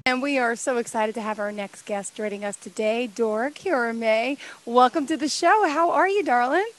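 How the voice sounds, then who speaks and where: A woman hosting a podcast interview, effusive and gushing, with warm endearments for her guest. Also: on the high side, normal speed, a little hiss or hum. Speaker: podcast host. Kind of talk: interview chat.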